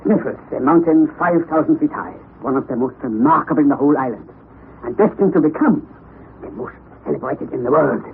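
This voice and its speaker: An actor playing an excitable, eccentric elderly professor in a 1960s radio drama, speaking in a commanding, theatrical way. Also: male voice, on the high side, a little fast. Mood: lecturing. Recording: lo-fi vintage radio broadcast with hiss.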